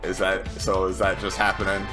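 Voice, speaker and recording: A man playing a tabletop game, casually declaring his moves in a wry, halting way. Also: low voice, monotone. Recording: clear music behind the voice.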